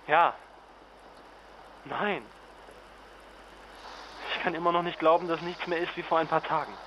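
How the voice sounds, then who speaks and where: An actor playing a weary, worried journalist husband in a naturalistic radio drama, hesitant and reluctant. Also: male voice, on the high side, a little slow, close to an everyday voice. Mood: despairing. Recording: dull lo-fi link, clearly noisy background.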